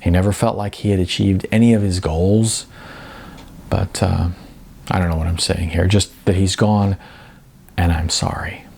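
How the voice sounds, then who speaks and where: Guy playing grieving brother in a novel reading, hesitant, stumbly, gravelly low voice. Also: fairly even intonation, normal speed, pauses often, a little mellow, exaggerated voice. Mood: somber, halting. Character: halting grieving man announcing his brother's death.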